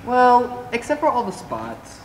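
A man's voice: very high voice, huge pitch swings, measured pace, heavily acted. A man playing a frank young friend who reminisces in a stage play. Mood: reminiscing. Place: theater stage, indoors. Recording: distant, reverberant theater, faint noise.

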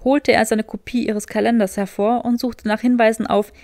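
A woman's voice: on the high side, little pitch movement, normal speed, a little mellow, no echo. A woman reading scripted fiction as an audiobook narrator.

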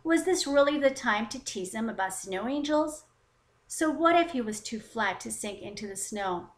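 A woman with a fairly high voice narrates a picture book for young children. Her delivery is expressive and deliberate. The recording has a noticeably roomy echo. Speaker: children's story time host and narrator.

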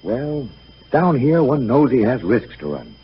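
An actor playing the gentle, boyish ghost of a young son in 1930s radio style, wistful and light in manner. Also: male voice, mid-range voice, big pitch swings, measured pace. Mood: puzzled, gentle. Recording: muffled, noisy 1930s radio broadcast.

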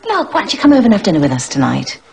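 A guilty, hesitant English teacher. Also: female voice, mid-range voice, wildly swooping pitch, very fast, heavily acted. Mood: flustered. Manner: hesitant, breathy, stammering restarts. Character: troubled married woman teacher, guilty. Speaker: teacher in a soap opera. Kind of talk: television drama acting.

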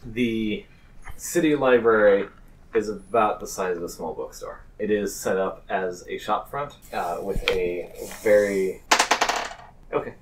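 Low-voiced male game master, off mic. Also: unhurried. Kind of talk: narrating a tabletop role-playing game. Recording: distant mic with faint noise.

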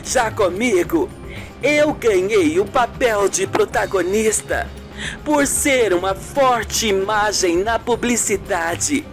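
A woman's voice: mid-range voice, expressive, takes it slowly, faint music behind. A woman voicing a young, confident, indignant and proud actress in a radio soap. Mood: proud, defiant.

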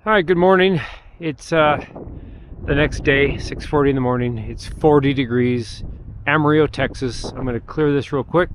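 A man's voice: on the high side, normal speed, a little hiss or hum. A middle-aged man casually narrating his metal detecting outdoors in parks, thinking aloud with many fillers, recorded on an action camera. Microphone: action camera held or chest-mounted.

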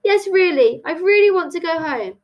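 Lady being a worried earnest kid in a storybook, high voice, very up and down. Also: very animated, normal speed, lo-fi sound. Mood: anxious. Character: earnest, anxious young girl, children's storybook heroine.

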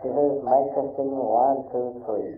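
A man's voice: mid-range voice, fairly even intonation, drawn-out words. A man giving a mic test through an underwater voice-changer filter that makes him sound submerged. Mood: flat greeting. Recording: muffled, echoey, distant underwater effect.

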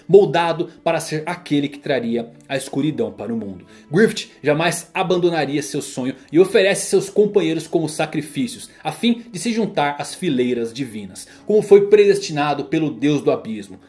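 A man's voice: a little high, a little mellow. Brazilian man narrating dramatically over music. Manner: solemn, theatrical phrasing. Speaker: narrator of anime and manga explainer videos. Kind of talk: dramatic scripted storytelling narration.

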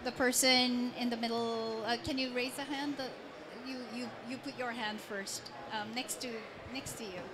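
A woman's voice: fairly high pitch, fairly even intonation, normal speed. Woman moderating, hall noise behind. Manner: polite, slightly hesitant. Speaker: panel moderator. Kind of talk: moderating a panel. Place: large conference pavilion indoors.

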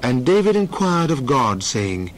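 Expressive male scripture narrator. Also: mid-range voice, measured pace, slight background noise.

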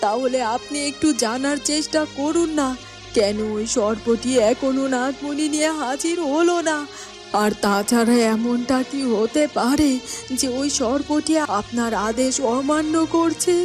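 A woman's voice: high voice, unhurried. A woman playing a worried, respectful companion to a queen in a fairy-tale cartoon, speaking earnestly. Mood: pleading. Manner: pleading, questioning. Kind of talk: dramatic cartoon voice acting. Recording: faint music under the voice.

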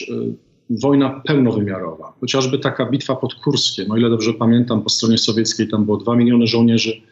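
A middle-aged man as an interview guest, giving a measured political analysis in a deliberate way with many hesitant pauses, heard over a video call. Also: medium pitch. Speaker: guest, former ambassador. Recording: echoey, dull video call audio.

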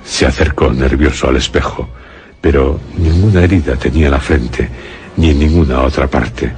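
A man with a Castilian Spanish accent narrating a suspense radio drama in a grave, measured storytelling manner. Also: extremely low pitch, a little mellow, soft background music.

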